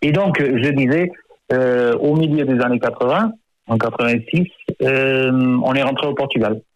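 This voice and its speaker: A man's voice: medium pitch, normal speed, dry recording, muffled recording. A man in his fifties, an author born in France, talking in a radio interview and hesitating often, with many fillers and repeated words. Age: 50s.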